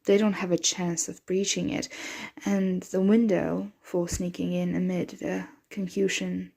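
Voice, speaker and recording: A woman voicing a possessive, protective, motherly vampire in an intimate ASMR roleplay, breathy and often dropping into a whisper. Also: on the low side, level tone, darkish tone. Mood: calm, reassuring. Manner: slow, intimate, soothing address to a dear one.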